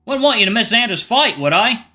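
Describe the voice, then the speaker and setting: A tough old man with a folksy drawl, steady and unbothered, put on by a man reading a story. The voice sits noticeably higher than the reader's own and comes out bright and forceful. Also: brisk, full character voice. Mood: gruff. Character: tough old male fighter, gruff and steady.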